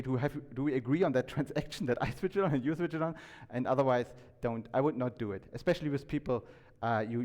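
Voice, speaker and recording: A man giving an informal talk to an audience, conversational and halting, often restarting his sentences and checking in with questions. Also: mid-range voice, a little mellow. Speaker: conference speaker. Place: indoor talk venue, reverberant room. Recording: echoey hall with long reverb.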